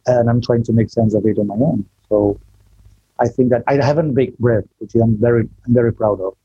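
Low-voiced man, self-deprecating video call chat. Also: steady tone, dark tone, breathy edge, dry recording, muffled recording. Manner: chatty, self-deprecating, halting restarts. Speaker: guest. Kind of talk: casual online interview.

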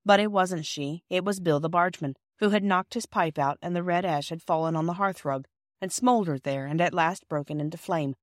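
A woman's voice: lowish voice, a little fast, dry recording, studio quality. A woman narrating a children's storybook from a script. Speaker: audiobook narrator.

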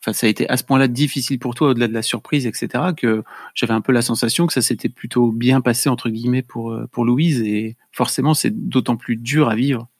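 A man's voice: medium pitch, fairly even intonation, quick pace, no echo. A man hosting an interview, asking friendly follow-up questions with some hesitations. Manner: friendly, probing questions with fillers. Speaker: interviewer, podcast host. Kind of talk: conversational interview.

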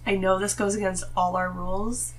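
Female podcast guest, nasal tone, medium pitch, informal chatty delivery, slightly noisy recording. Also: flat delivery, moderate pace. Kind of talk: casual conversational chat. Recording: noticeable background noise.

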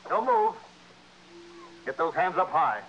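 A man's voice: on the high side, wildly swooping pitch, slow pace, full character voice. A man playing a commanding investigator confronting a crook, giving orders in a 1960s radio drama. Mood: tense, commanding. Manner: forceful, ordering. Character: commanding male lawman confronting an armed crook. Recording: dull, noisy old radio recording.

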